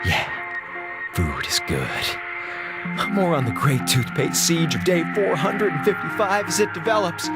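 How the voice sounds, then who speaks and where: An American actor playing an aggrieved space station communications officer in a radio drama, with theatrical, sarcastic griping. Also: male voice, fairly high pitch, very animated, a little slow. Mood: wry defiance. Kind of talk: radio drama acting, comic log monologue. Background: music.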